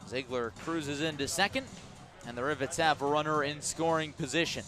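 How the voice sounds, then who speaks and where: A man's voice: a little high, moderate pace, over music. Man calling baseball on radio. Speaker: baseball play-by-play announcer for a team's radio broadcast. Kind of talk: live radio sports commentary. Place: outdoor ballpark at night.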